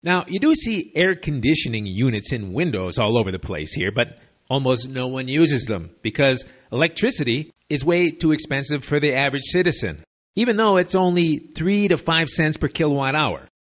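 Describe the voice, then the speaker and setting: A man's voice: medium pitch, animated, moderate pace, dull audio. A man from the United States with a slight lisp, giving opinionated, digressive commentary as a podcast host on makeshift equipment away from his usual studio. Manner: sardonic, digressive, conversational. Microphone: makeshift borrowed equipment.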